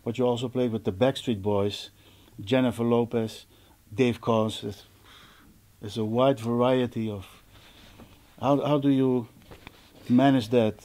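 A man's voice: mid-range voice, fairly even intonation, measured pace, dark tone. A man in his forties with a Dutch accent, a host chatting casually with fellow musicians. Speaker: host, percussionist. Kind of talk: casual interview. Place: indoor music studio with stage setup.

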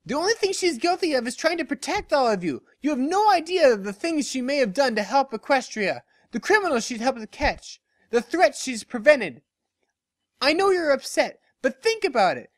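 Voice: high voice, big pitch swings, normal speed, dry recording, voice pushed up. A narrator voicing a loyal, protective female pony in a story, earnest and firm. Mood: urgent.

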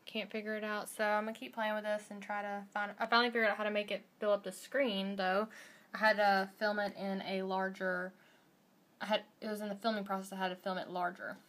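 A woman's voice: medium pitch, level tone, a little fast, fairly bright tone. A young woman in her twenties chatting casually through her day in a home kitchen, filmed on a handheld phone camera. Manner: chatty, rambling, bubbly. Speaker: vlogger. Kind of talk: casual daily vlog chat. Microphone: phone camera at arm's length.

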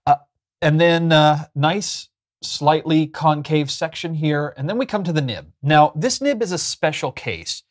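A man's voice: on the high side, animated, a little slow. A man in his thirties giving a casual, chatty product review to camera, explaining as he goes, recorded on a lapel mic indoors. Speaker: host of a pen review show. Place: small furnished room indoors.

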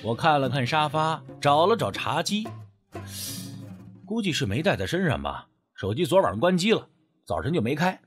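A man's voice: fairly high pitch, very animated, normal speed, husky, soft background music. A man narrating a first-person story as an audiobook narrator, in a dramatic storytelling style.

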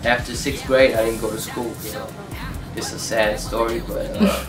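An adult man whose first language is Korean, chatting casually as an interview guest, with a relaxed, halting manner full of fillers and restarts. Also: mid-range voice. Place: small dark studio room, indoors. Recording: roomy, distant sound with music behind.

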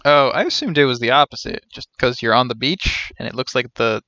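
Bright-voiced man streaming, slightly muffled sound. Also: mid-range voice, expressive, a little fast, no echo. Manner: wry, joking asides. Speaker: game streamer. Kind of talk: casual live game commentary. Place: indoor room, webcam stream. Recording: dull lo-fi sound, music behind. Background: game music.

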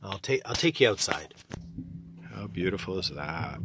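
A man chatting casually as he films his evening routine in a small sailboat cabin, relaxed, with restarts and friendly "eh?" tags. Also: low voice, normal speed, heavy background noise. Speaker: sailboat designer and vlogger. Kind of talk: casual how-to vlog narration.

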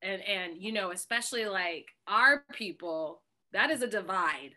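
A woman's voice: mid-range voice, expressive, measured pace, pushed and bright. A young woman hosting a casual video call from home, full of enthusiastic praise for a guest. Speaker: host. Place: home room, indoors.

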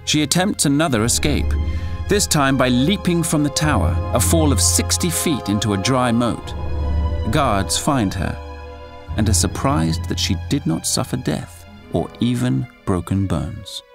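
A man playing a solemn chronicler, giving formal, measured scripted narration in a historical audio drama. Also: mid-range voice, expressive, gravelly. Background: music under the narration.

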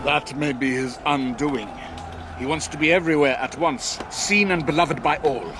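An actor playing a shrewd, deliberate sultan and war leader who speaks with authority in a historical audio drama. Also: male voice, a little high, background noise, natural voice. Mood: calm, calculating. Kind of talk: historical radio drama acting.

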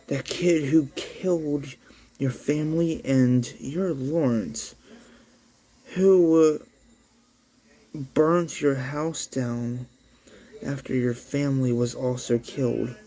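A man voicing a laid-back, cool eighteen-year-old girl in an anime story, in a drawn-out, nonchalant way. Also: medium pitch, mellow, breathy edge, poor audio.

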